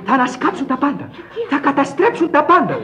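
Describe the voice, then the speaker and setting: A man's voice: very high-pitched, big pitch swings, a bit bright, full character voice. An actor playing a polite, fragile young man, earnest and pleading, in classic radio theatre. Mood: anguished, earnest. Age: young adult. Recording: dull old radio recording.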